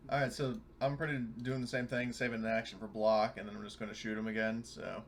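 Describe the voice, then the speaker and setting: A man's voice: on the low side, flat delivery, fast talker. Casual male gamer with faint background noise. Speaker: tabletop game player. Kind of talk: casual chat at a game session.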